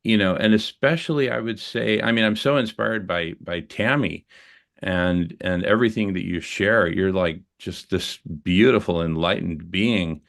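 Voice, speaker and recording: A man sharing a personal story in a group conversation, earnest and reflective, halting with fillers and restarts. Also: deep voice, gravelly, fairly bright tone.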